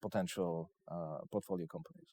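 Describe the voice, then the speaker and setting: A man with a Polish accent giving interview answers as he pitches his investment company, in hesitant, piecemeal phrases. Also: steady tone, brisk, dark tone. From Poland.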